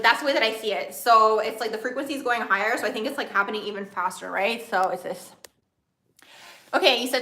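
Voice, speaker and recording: Young woman chatting super fast, bright bubbly voice, lots of "like", echoey room. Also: mid-range voice. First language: another language first, grew up speaking English from age four. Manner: fast-talking, bubbly, says "like" a lot. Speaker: astrology channel host. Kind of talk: casual livestream chat reading comments.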